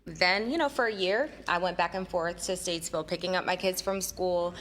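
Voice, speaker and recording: Female business owner guest, conversational, slightly low pitch, faint noise. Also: a little fast, a bit bright, poor audio. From New Jersey. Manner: chatty, with many fillers. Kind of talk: casual radio interview.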